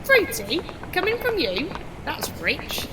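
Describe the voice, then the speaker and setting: A woman's voice: high voice, wildly swooping pitch, takes it slowly, very noisy, exaggerated voice. A woman playing a cheeky, irreverent worker in an audio drama, with a teasing, brash delivery. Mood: mock indignant.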